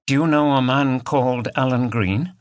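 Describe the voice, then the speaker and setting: A man voicing a calm police woman sergeant asking polite, businesslike questions in an audiobook. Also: medium pitch, normal speed, dry recording, clean studio sound, pitched above the performer's own voice, close to an everyday voice.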